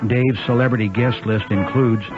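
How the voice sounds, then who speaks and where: A man's voice: mid-range voice, fairly even intonation, moderate pace, close to an everyday voice. A man playing a jovial talk-show sidekick announcer in a scripted comedy radio commercial. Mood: jovial pitchman. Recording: dull radio ad with music bed.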